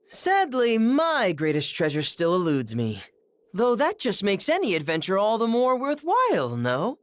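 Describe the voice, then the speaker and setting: A woman's voice: medium pitch, wildly swooping pitch, a little slow, no room sound. A woman voicing a flamboyant, grand-talking treasure-hunting adventurer in a game dub. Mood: grandiose. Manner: theatrical, grand, playful. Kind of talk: video game voice acting.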